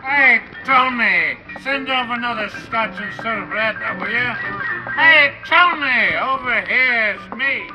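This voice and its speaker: An actress playing a loud bar customer calling for service in a 1950s radio drama. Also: female voice, a little high, lively intonation, measured pace, long pauses. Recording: dull vintage radio recording, faint music.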